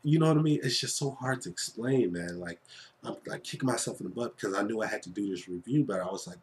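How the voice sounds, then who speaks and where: A man's voice: on the low side, fast. A man giving a casual, enthusiastic film review, gushing conversationally and repeating phrases for emphasis. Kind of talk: casual, enthusiastic fan review to camera or mic.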